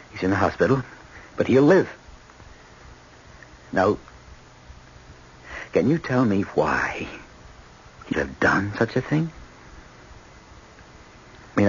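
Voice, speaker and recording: An actor playing a troubled middle-aged judge and father, earnest, formal and stammering, in a vintage radio drama. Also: low-pitched. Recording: dull, noisy old radio recording.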